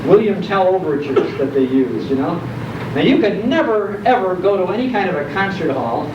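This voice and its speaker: Older male speaker, American accent, nostalgic stage storyteller with deliberate dramatic emphasis; echoey room, clearly noisy live recording. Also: high-pitched, expressive. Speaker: guest speaker, radio nostalgia host. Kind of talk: live talk telling nostalgic stories to an audience. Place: indoor program venue before an audience. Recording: echoey, noisy, muffled live hall recording, distant microphone.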